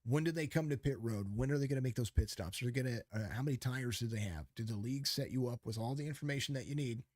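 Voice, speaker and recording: Male podcast host, mid pitch, dry close sound, emphatic, rambling delivery. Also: fast, darkish tone, dry recording. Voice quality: calls his voice fit for radio. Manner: rambling, many restarts and fillers, emphatic. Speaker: podcast host and race commentator. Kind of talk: casual podcast chat.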